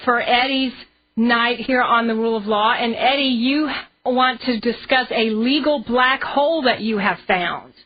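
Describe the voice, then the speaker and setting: A woman's voice: a little high, unhurried. A woman hosting a radio talk show, introducing the segment in conversational speech. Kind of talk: show introduction, handing over to co-host. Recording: dull lo-fi radio with music behind.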